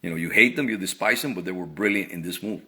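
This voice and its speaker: A man's voice: low voice, quick pace, pushed and bright. A middle-aged man from Cuba talking through his opinions in a casual monologue, earnest and often repeating words as he restarts phrases. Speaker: vlogger.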